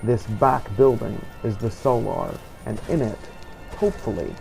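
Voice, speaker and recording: A man narrating video game play in character, in a formal, measured storytelling manner. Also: mid-range voice, gravelly, rough recording. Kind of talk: scripted first-person game narration. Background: game music.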